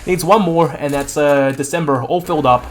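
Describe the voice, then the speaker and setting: A man working as an esports caster and interviewer, with a slightly croaky voice and an excitable way of talking. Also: on the high side, fairly quick, slight background noise. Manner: excitable, exclaiming at big plays. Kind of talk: live game commentary and post-match interview.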